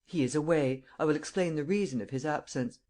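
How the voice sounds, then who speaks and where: A composed police chief, as the reader plays him, steady and in command. He asks short, firm questions in an even, measured delivery close to the reader's own voice. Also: mid-range voice, natural voice.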